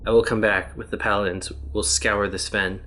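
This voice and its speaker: A man voicing a weary male test subject stranded for ages in another world, read out in an even, plain reading voice. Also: on the low side, normal speed.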